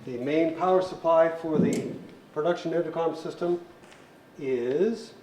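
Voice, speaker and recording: A man giving an informal hands-on technical tutorial as an instructor, speaking deliberately and methodically with pauses mid-sentence. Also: fairly high pitch, warm tone. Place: indoor set room by a theater stage. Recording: roomy echo with faint background noise.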